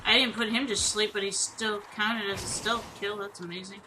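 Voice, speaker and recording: A woman chats over voice chat while playing, blunt and quick to swear. Her voice sits at a middle pitch. The audio carries a clearly audible hiss. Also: fairly even intonation. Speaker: gamer on voice chat. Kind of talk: casual gaming chat.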